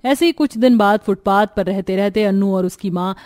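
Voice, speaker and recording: A woman's voice: mid-range voice, a little fast, a little mellow, dry recording, studio quality. A woman reading scripted story narration for a cartoon.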